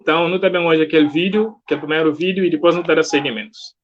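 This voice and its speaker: A man's voice: on the high side, rapid-fire. A man with a Cape Verdean accent hosting a casual live online interview in an informal, welcoming way. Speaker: host. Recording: dull, lo-fi live stream sound.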